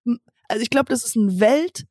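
A woman's voice: a little high, fairly quick, a little mellow, dry recording, clean studio sound. A woman co-hosting a podcast in casual comic banter, with a playful, mock-serious manner. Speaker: podcast co-host.

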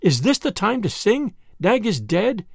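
A man's voice: high-pitched, very animated, unhurried, vocal fry, slightly rough, dry recording, clean studio sound, pitched above the performer's own voice, heavily acted. A man reading aloud and voicing a small, brave male mongoose who talks with urgent determination. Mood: impatient.

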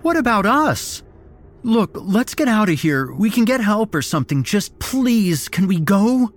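Panicked young man for horror audio story, acted by a male narrator: high-pitched, loud, frantic and pleading. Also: sing-song, unhurried. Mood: desperate pleading. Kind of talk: dramatic horror story character acting.

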